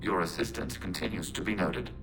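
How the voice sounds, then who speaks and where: A low-voiced, calm synthetic alien mind from a video game acted by a man. It speaks as a collective, formal and measured, with no emotion in its delivery. Music plays clearly behind the words. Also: lightly acted. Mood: detached, measured. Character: calm logical male alien machine intelligence speaking as a collective.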